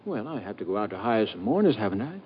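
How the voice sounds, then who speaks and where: A man's voice: on the low side, sing-song, quick pace, breathy edge. An actor playing a jittery, flustered young male secretary who stammers and hurries in an old radio drama, on a muffled old recording.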